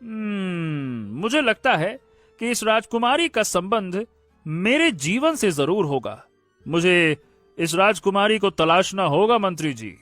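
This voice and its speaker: Kind young cartoon prince, male actor: slow, theatrical delivery, high voice, excitable. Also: dry recording, soft background music, full character voice. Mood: pondering, puzzled. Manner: theatrical, drawn-out exclamations and hums. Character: excitable kind-hearted young prince of a fairy-tale kingdom. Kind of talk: animated Hindi moral-story cartoon dialogue.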